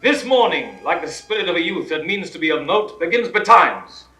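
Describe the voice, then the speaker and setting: An actor playing a commanding Roman general with a warm, proud manner and grand declamation, in a 1960s studio radio play. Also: male voice, sits high, big pitch swings, moderate pace, faint music behind, exaggerated voice, low-quality recording. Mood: rousing.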